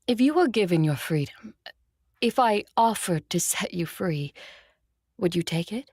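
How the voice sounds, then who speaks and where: A woman playing an ancient, imperious and guarded vampire ruler in an audio drama, speaking in a measured, wry way. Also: medium pitch, animated, a little mellow, breathy edge, dry recording, studio quality. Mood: hesitant, wry. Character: imperious two-thousand-year-old female vampire ruler, wry, guarded. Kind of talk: audio drama acting, first-person narration and dialogue.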